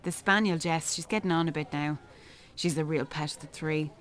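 Irish woman, lowish voice, polite small talk, natural radio drama style. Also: steady tone, normal speed, fairly bright tone. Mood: chatty, curious. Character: polite, chatty wife of an Irish businessman.

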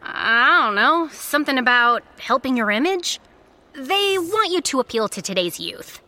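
A woman playing a brash, excitable teenage girl, a shapeshifting sidekick in an audiobook drama, cheeky and casual. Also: high-pitched, unhurried, heavily acted. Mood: breezy. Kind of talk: full-cast audiobook drama.

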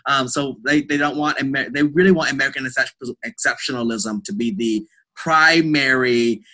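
A man with a bright, crisp-edged voice talks as a guest in a casual interview. He works through his ideas aloud, often restarting a phrase. He checks in with the listener as he goes. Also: medium pitch, normal speed, poor audio. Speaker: podcast guest, theatre artist and academic.

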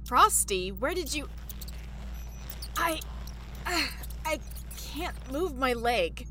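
A woman playing an injured, curious young spaceship crew member in an audio drama, with a halting, emotive delivery. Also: sits high, very animated, pushed and bright, a little hiss or hum, exaggerated voice. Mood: weak, confused.

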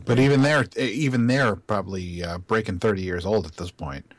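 A man's voice: lowish voice, moderate pace, no room sound. A man in his forties chatting casually and tossing in wisecracks. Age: 40s. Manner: wisecracking, laughing. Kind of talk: casual chat.

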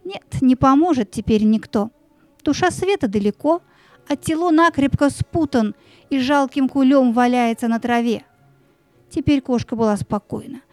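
A woman narrating a children's story in an expressive, suspenseful, dramatic scripted style. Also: high voice, moderate pace, no echo.

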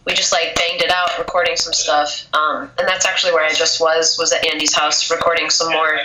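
A woman's voice: sits low, little pitch movement, a little fast, pushed and bright. Young woman with a cold, echoey room. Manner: casual, lots of filler "like". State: has a cold, losing her voice. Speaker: poet, interview guest. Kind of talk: casual interview chat. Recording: echoey room, faint noise.

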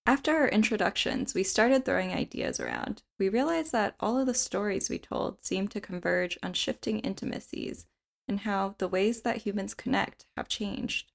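Reflective woman narrating, gravelly edge. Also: mid-range voice, expressive. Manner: measured, reflective reading. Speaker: podcast narrator. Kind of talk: scripted narration.

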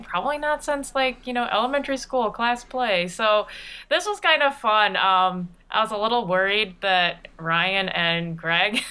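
Woman, bright smooth voice, playful and chatty interview guest, conversational delivery. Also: medium pitch. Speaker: podcast regular and voice performer being interviewed. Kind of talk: casual interview chat.

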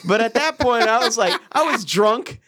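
A man's voice: very high voice, lively intonation, moderate pace, bright, forceful tone. A man, telling stories in casual banter with friends, swearing and laughing often. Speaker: podcast co-host.

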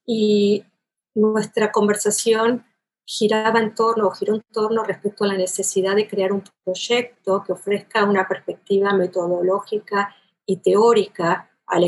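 Husky-voiced Argentine porteño woman scholar. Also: medium pitch, rough recording. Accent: Argentine porteño Spanish. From Buenos Aires, Argentina. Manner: thoughtful, elaborate, with frequent restarts and "¿no?" tags. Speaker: literary scholar, interview guest. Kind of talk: academic interview talk.

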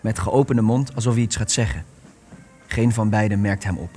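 Low-voiced male radio play narrator. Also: moderate pace, a little mellow, soft background music. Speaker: narrator reading stage directions.

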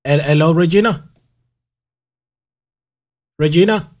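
A man's voice: on the high side, takes it slowly, darkish tone. A man hosting a live radio interview, rambling with many fillers and repeating himself. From South Africa. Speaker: radio show host.

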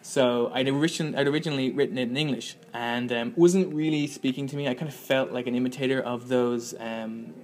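A man's voice: medium pitch, steady tone, a little fast, hardly any pauses, darkish tone. Rambling young man filmmaker. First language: Irish. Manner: rambling, many fillers and restarts. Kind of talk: interview answer.

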